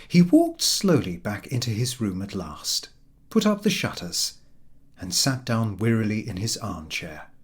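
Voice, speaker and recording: A man narrating a storybook in an unhurried, dramatic, expressive manner, with a soft husky voice. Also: medium pitch.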